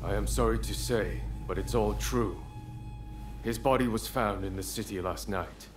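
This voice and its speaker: An actor playing a stern, measured samurai commander in a video game cutscene. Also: male voice, lowish voice, soft background music, close to an everyday voice. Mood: grave.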